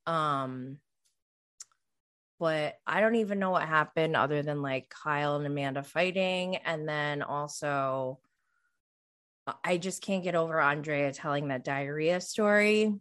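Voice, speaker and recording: A woman's voice: sits low, a little slow, fairly bright tone, smooth. A woman of about forty hosting a podcast, chatting casually on her own in a rambling way that often trails off. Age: around 40. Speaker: podcast host. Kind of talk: casual solo recap chat about reality TV.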